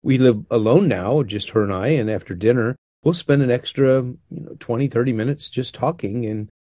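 A man's voice: mid-range voice, mellow, no echo. Earnest older man, dry close sound. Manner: earnest, unhurried, with frequent restarts. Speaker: guest speaker on marriage. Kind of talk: reflective conversational talk.

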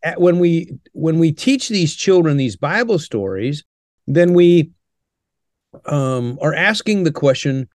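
A man's voice: on the high side, lively intonation, darkish tone, no echo. Older man, earnest, dry close sound. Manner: earnest, measured, with frequent "uh" pauses. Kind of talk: interview answers, teaching tone.

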